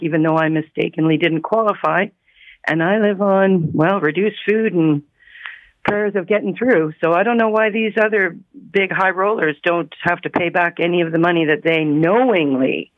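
A woman's voice: low voice, no echo. A woman calling in to a radio show, earnest and aggrieved as she explains her case. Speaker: caller. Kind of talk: phone-in complaint. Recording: phone line.

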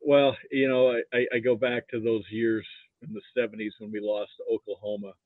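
A man's voice: lowish voice, level tone, a little fast, no echo. Older American man on a phone-like line. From Nebraska, United States. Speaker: podcast guest, football fan. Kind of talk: casual sports chat. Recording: thin, narrow phone-like line.

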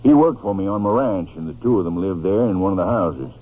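An older American rancher in an old radio drama, plainspoken and regretful. His low voice rises and falls freely in a folksy, halting drawl, heard on a muffled, noisy vintage recording. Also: lively intonation, husky. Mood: wistful. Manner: folksy, halting drawn-out delivery. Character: humble older male Texas rancher, plainspoken, regretful guardian. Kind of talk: 1950s radio drama acting.

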